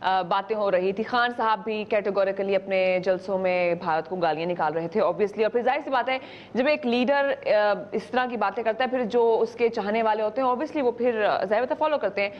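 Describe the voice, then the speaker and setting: A woman's voice: mid-range voice, normal speed, hardly any pauses, muffled recording. A Pakistani woman hosting a political discussion show, putting questions to her guests in a Pakistani accent. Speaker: talk show host.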